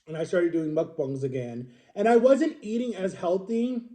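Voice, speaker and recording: Mellow, higher-pitched man in his thirties, chatting. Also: moderate pace. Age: 30s. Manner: rambling, self-correcting, emphatic repetition. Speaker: vlogger. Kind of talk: casual chatty storytelling to viewers.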